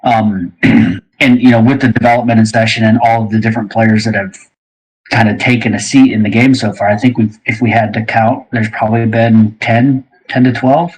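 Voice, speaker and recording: A man hosting a casual panel chat, speaking in a halting, rambling way. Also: fairly low pitch, steady tone, breathy edge, low-quality recording. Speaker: host asking the panel questions.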